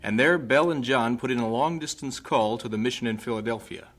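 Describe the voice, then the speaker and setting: A man playing the narrator of a missionary life story in an audio drama, telling it in measured scripted prose. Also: mid-range voice, a bit bright, lightly acted. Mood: measured, serious.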